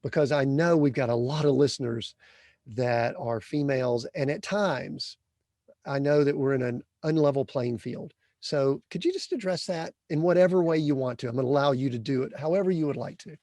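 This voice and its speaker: A man's voice: medium pitch, moderate pace, darkish tone, no room sound, clean studio sound. A man hosting a podcast interview, asking earnest, rambling questions full of restarts. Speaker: podcast host.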